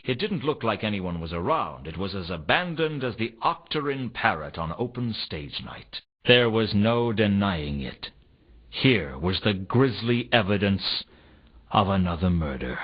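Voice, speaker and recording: Husky, world-weary noir detective. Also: male voice, on the low side, moderate pace. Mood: wry, brooding. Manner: deadpan, sardonic. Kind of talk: scripted first-person noir game narration. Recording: dull lo-fi game audio.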